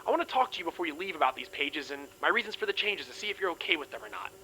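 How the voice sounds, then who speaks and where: A man's voice: a little high, lively intonation, very fast, sharp tone, rough recording. A man voicing an uneasy film director reading out a written reply with uneven, hesitant emphasis. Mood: uneasy, conciliatory. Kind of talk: acted reading of a letter.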